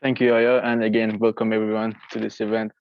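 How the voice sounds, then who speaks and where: A man's voice: lowish voice, little pitch movement, a little fast, clear, resonant tone, dry recording. A young man giving a prepared presentation as a facilitator of an online event. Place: online video call. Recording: dry, dull lo-fi call audio.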